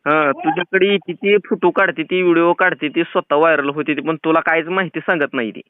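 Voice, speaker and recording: Male prank caller, rural Maharashtrian accent, high-pitched, chatty and pushy, on a narrow phone line. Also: steady tone, a little fast, clear, resonant tone, no echo. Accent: rural Maharashtrian Marathi. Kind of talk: casual teasing phone chat. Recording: tinny, phone-like band-limited sound.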